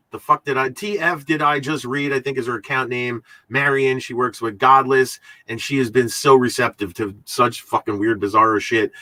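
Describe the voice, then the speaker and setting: A man hosting a podcast in casual, rambling, halting talk, often repeating his words and swearing freely. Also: medium pitch, sharp tone, no room sound, low-quality recording. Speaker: podcast host.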